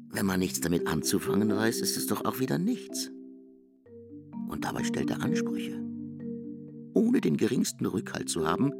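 An actor playing a scornful commentator in a literary radio drama, disparaging and pitying, with a gravelly, breathy delivery. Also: sits low, heavily acted. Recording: loud mallet-percussion music behind.

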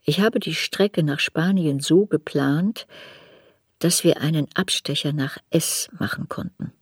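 An actress playing an older woman writer who soberly looks back on her youth in a measured, reflective radio drama narration. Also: low-pitched, breathy edge, no room sound, clean studio sound. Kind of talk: literary radio drama narration in first person.

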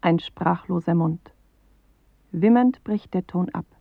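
A woman performing a pensive narrator in a poetic radio drama, speaking in measured, deliberate fragments on a muffled 1980s recording. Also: lowish voice, vocal fry, dry recording, exaggerated voice. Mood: pensive, grave. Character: pensive female narrator of a dreamlike inner journey.

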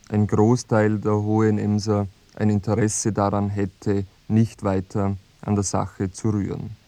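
A man playing a sober radio presenter who narrates a mystery case in a measured, formal, matter-of-fact way. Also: lowish voice, dark tone, no room sound. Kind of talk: scripted radio feature narration.

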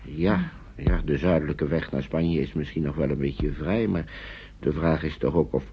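A weary, polite wartime refugee gentleman in a radio play. His voice is very low and husky, with formal, halting phrases that double back on themselves. The old recording sounds dull. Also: lively intonation, heavily acted. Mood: worried, hesitant. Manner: formal, hesitant, repeating himself. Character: courteous weary older Englishman fleeing with children in wartime. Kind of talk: radio drama acting, naturalistic.

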